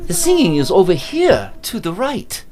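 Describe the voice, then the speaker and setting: A man's voice: high-pitched, huge pitch swings, moderate pace. A man playing an alert, wondering Victorian traveller in a period audio drama.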